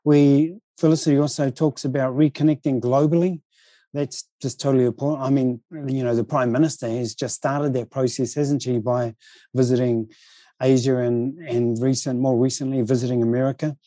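A man with a New Zealand accent talks as an interview guest in a considered, conversational style. His voice is soft-edged and very dark in tone, at an average pitch. The sound is close and dry. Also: steady tone, normal speed, no room sound. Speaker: interview guest, board chair and speaker.

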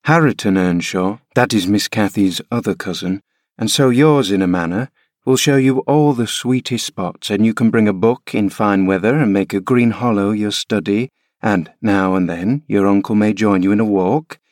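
Brief: male reader playing a gentle servant woman soothing a child, calm and low, no room sound. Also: moderate pace, no room sound, clean studio sound, lightly acted. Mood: reassuring. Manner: coaxing, reassuring. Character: kindly practical female housekeeper.

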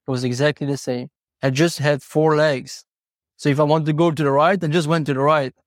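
Earnest higher-voiced man, non-native English speaker. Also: fairly even intonation, brisk, no room sound, clean studio sound. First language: not English. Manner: earnest, philosophical, explaining with examples and rhetorical questions. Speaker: horse trainer and podcast guest. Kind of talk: reflective interview talk, personal anecdotes.

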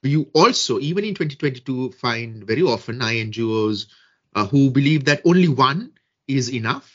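Podcast guest, man from Sri Lanka, measured and deliberate, slightly raspy tone, somewhat lo-fi sound. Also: mid-range voice, animated. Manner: measured, deliberate, with frequent fillers and restarts. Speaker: guest expert on social media and peace building. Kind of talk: podcast interview answers.

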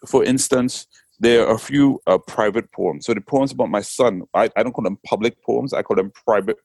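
A man with a Trinidadian accent speaks in a lowish voice, recorded close and dry. He talks reflectively and casually, often circling back with "you know what I'm saying." He is a poet being interviewed. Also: darkish tone, no room sound. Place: England.

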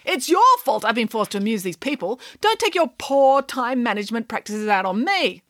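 Vrouw speelt imperious storybook female pig nanny, bossy, lively, dry. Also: on the high side, moderate pace, dry recording, voice pushed up. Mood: haughty. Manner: haughty, bossy, proper.

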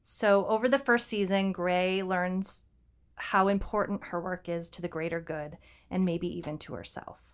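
A woman's voice: fairly low pitch, fairly even intonation, moderate pace, smooth. A woman co-hosting a podcast, chatting casually with frequent ums and restarts. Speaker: podcast co-host. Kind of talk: casual chat.

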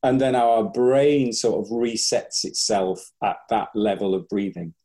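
Man, breathing coach, podcast interview, warm mellow voice, many restarts, quotes clients in character. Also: on the low side, fairly even intonation, measured pace. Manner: thoughtful, restarts often, acts out clients' voices.

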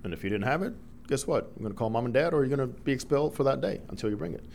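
A middle-aged man from the Dominican Republic whose first language is Spanish, a broadcaster telling lively stories as a guest in an interview. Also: medium pitch, racing, creaky, slight background noise. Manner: animated storyteller, acts out quoted voices. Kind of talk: storytelling interview.

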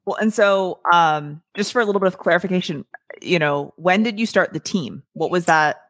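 A woman's voice: on the low side, normal speed, a bit bright, dry recording, studio quality. A woman hosting a casual real estate podcast, friendly and upbeat with many fillers.

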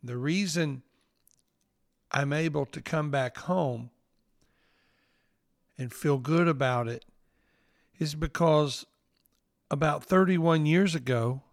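A man in his fifties with a Southern accent, hosting a devotional podcast and speaking in an earnest, gently preaching way. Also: fairly high pitch, takes it slowly, creaky, long pauses, dry recording, clean studio sound. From Northeast Mississippi, US.

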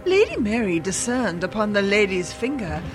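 A woman's voice: medium pitch, very animated, moderate pace. A woman narrating a radio drama as a storyteller, with theatrical, heightened delivery. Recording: music behind the voice.

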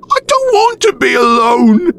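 A grieving, desperate widower, as a male storyteller puts him on, pleading through tears in an audio horror tale. The voice is pushed high and lurches up and down, dragged slowly as if barely holding together. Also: big pitch swings, fairly bright tone, raised voice, a little hiss or hum, heavily acted. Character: grief-stricken, widowed man pleading.

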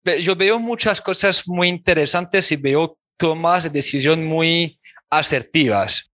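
A man with a Colombian accent hosting an interview, encouraging his guest with quick approving remarks. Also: fairly high pitch, a bit bright, no room sound. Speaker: interview host. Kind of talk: casual interview.

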